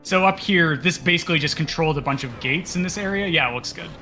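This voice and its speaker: A man's voice: on the high side, quick pace, sharp tone, faint music behind. A man co-commentating a live speedrun, explaining the game in a casual way.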